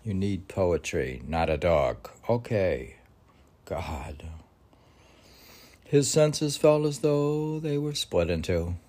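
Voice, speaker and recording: A man's voice: sits low, lively intonation, takes it slowly, long pauses, warm tone. A man reading poetry aloud and drifting into casual, musing asides in a conversational way. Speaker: poetry reader.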